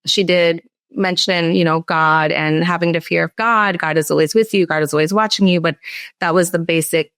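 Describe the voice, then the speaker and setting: A woman's voice: lowish voice, steady tone, normal speed, a bit bright, clear, resonant tone, dry recording. A woman in her forties who grew up in Florida, telling her story as a podcast guest in a plain, composed way. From Orlando, Florida. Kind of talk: personal testimony interview.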